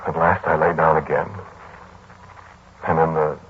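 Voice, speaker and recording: An actor playing a troubled, kindly lodger in a 1940s radio drama, telling his story in a brooding, deliberate way. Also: extremely low pitch, level tone. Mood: shaken, bleak. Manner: brooding, deliberate storytelling that turns pleading in dialogue. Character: troubled, kindly male lodger narrating his haunted memories. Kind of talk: 1940s radio drama acting, first-person narration. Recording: muffled, noisy 1940s radio broadcast.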